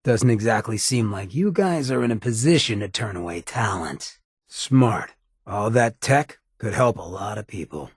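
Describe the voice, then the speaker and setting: An actor playing a streetwise, roguish ghoul adventurer in a video game, with a heavily rasping voice and a wry, slangy, swaggering drawl. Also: male voice, on the low side, lively intonation, moderate pace, dry recording, clean studio sound. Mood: wry, needling. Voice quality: heavily raspy, gravelly. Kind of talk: video game character acting.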